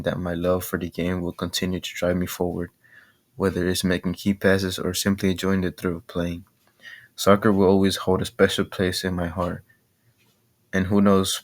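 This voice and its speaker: Low-voiced teenage boy, even delivery. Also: no echo. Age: teens. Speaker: student athlete narrating documentary. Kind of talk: scripted personal documentary narration. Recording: faint music behind the voice.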